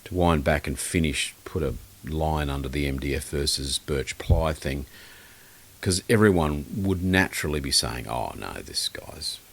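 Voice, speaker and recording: A man's voice: extremely low pitch, measured pace. A man talking casually as an expert guest in a podcast interview, opinionated and blunt, often rambling as he explains things. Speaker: guest loudspeaker designer and maker.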